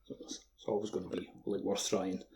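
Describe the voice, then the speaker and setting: A man's voice: deep voice, level tone, a little fast, creaky, a little mellow, slight background noise. A man co-hosting a podcast, chatting casually about a game in loose sentences peppered with "like". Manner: informal, frequent use of "like". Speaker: podcast co-host. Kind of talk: casual video game discussion.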